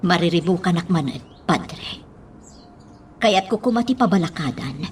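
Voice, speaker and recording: A woman's voice: lowish voice, expressive, measured pace, slight background noise. A woman playing a troubled, earnest mayor in a radio drama, with an Ilocano accent.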